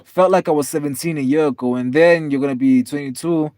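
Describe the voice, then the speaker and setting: A man in his twenties, tired, chatting casually with viewers on a live stream in a relaxed, rambling way with lots of fillers. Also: a little high, fairly quick, no echo, studio quality. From South Africa. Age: 20s. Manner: laid-back, rambling, many fillers, calls viewers man and bro.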